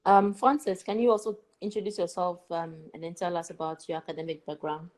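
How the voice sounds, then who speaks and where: A woman's voice: lowish voice, steady tone, fairly quick, a little mellow. A woman presenting and interviewing as the host of a podcast. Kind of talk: scripted podcast introduction and interviewing.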